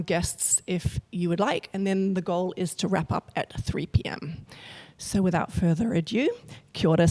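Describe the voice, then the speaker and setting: A woman from New Zealand moderating a panel at an arts venue, chatty and relaxed, with fillers, into a recording mic. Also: mid-range voice. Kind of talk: hosting a panel event.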